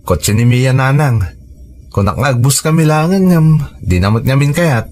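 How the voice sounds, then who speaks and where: A man's voice: medium pitch, animated, moderate pace, darkish tone, natural voice. Man acting an earnest young Ilocano son. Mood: explaining. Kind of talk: radio drama acting.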